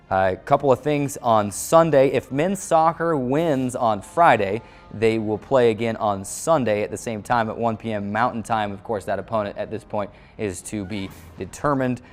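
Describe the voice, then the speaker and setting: A man's voice: lowish voice, moderate pace. A man with an American accent presenting a sports show in a scripted announcer style, as its host. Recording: music playing under the voice. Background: background music.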